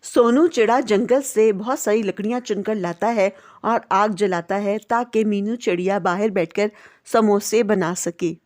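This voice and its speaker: A woman's voice: mid-range voice, unhurried, darkish tone. A woman narrating a scripted children's cartoon story.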